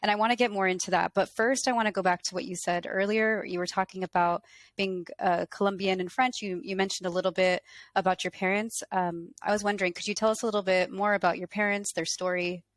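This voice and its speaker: A woman's voice: medium pitch, steady tone, fast, no room sound. An American woman from Colorado hosting a podcast interview, asking curious follow-up questions. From Colorado, USA. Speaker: podcast host.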